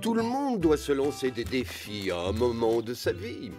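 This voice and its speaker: A friendly cartoon ranch dog with a wildly expressive, swooping delivery. He is patient and wise, teasing a little as he doles out advice, with music playing clearly underneath. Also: medium pitch, moderate pace. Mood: reassuring. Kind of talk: French animated series dub acting.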